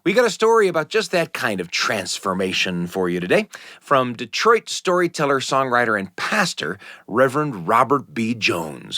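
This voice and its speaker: An American man hosts a storytelling show with a swooping, animated delivery at a middle pitch. He speaks in a folksy manner with dramatic emphasis, close to the mic in a dry studio. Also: no echo. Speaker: podcast host. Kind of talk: warm-up narration introducing stories. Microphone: close studio microphone.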